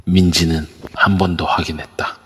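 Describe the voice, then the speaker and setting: A man narrating an amateur audio drama in a steady storytelling manner. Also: sits low, normal speed, husky. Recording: long echoey reverb.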